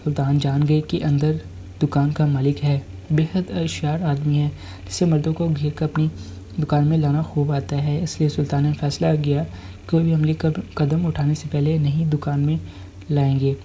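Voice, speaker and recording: Dark, muffled, even-toned storyteller. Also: mid-range voice, normal speed, a little hiss or hum. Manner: stumbles over and repeats words while reading. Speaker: storyteller, channel narrator. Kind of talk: scripted story narration.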